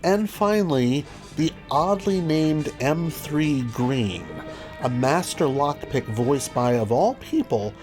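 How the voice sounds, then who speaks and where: A man's voice: fairly high pitch, expressive, takes it slowly, a little mellow. A man presenting a scripted video review as host, with wry, dry asides. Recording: music behind the voice. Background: background music.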